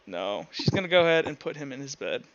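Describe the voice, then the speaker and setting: A man acting as game master, insistently explaining rules and improvising narration in halting bursts. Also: mid-range voice, big pitch swings, highs cut off, crowd noise. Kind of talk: improvised tabletop roleplay narration and rules talk.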